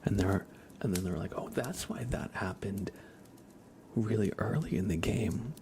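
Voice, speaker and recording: A man hosting an ASMR livestream, chatting softly and casually in a rambling way, often slipping into a whisper. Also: lowish voice, unhurried, background noise. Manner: casual, rambling, hesitant with frequent "like". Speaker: livestream host. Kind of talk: soft-spoken ASMR livestream, casual chat.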